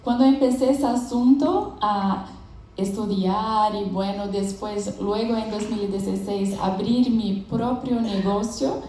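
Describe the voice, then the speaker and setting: A woman's voice: mid-range voice, fairly even intonation, measured pace, mellow, a little hiss or hum. A young adult woman from Brazil, Portuguese her first language, giving a friendly conference presentation, laughing often and sometimes searching for words. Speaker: conference speaker. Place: large event hall with audience. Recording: echoey hall from a distant mic.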